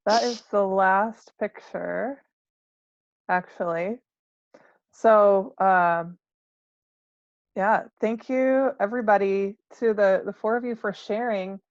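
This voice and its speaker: Creaky-voiced woman hosting, casual and dry. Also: mid-range voice, slow pace, long pauses, no room sound, dull audio. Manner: casual, hesitant. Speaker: host of an online panel. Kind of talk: informal webinar hosting.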